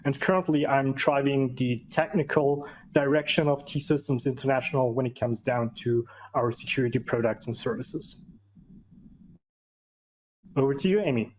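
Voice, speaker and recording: Man, non-native English speaker, mid-pitched, chatty, muffled call audio, faint background hiss. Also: fairly even intonation, moderate pace, darkish tone. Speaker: cybersecurity executive and panelist. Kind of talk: panel webinar introduction. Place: based in Vienna.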